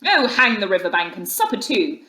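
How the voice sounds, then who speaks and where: A woman voicing a hearty, loyal, bossy gentleman water rat in a storybook, with an emphatic, chummy manner. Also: mid-range voice, very animated, moderate pace. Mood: hearty, determined.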